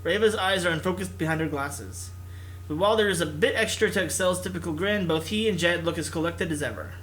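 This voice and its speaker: A man's voice: sits high, a little fast, a bit bright, rough recording. A man hosting a gaming video, reading narration expressively and mixing in casual commentary.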